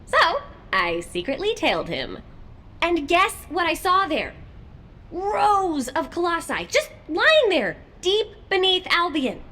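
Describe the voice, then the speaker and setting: An actress playing a young, naive and cheeky female soldier in video game dialogue, talking in an expressive, informal, girlish way. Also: very high voice, slow, exaggerated voice. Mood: conspiratorial, excited.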